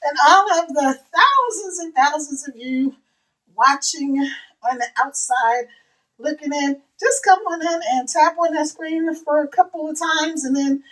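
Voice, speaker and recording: An older woman with a twang talks in a high, scratchy voice, hoarse from hours of talking. She chats in an easygoing, folksy way, calling her viewers "y'all." She takes her time, speaking slowly and unhurriedly. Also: fairly bright tone. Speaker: live streamer. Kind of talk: casual live-stream chat. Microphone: phone.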